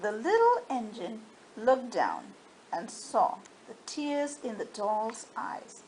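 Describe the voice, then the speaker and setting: A woman storyteller reading a children's story aloud in an expressive, dramatized way, with faint background noise. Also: a little high, slow pace, low-quality recording.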